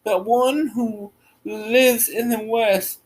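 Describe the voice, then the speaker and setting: A woman's voice: a little high, fairly even intonation, extremely slow, darkish tone, voice pushed up. A woman voicing a kindly, grateful little old good witch in a storybook read-aloud. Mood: reassuring, earnest.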